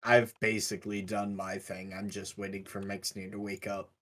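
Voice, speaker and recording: A man playing a tabletop roleplaying game, casually and haltingly describing what his character does. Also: lowish voice, little pitch movement, a bit bright.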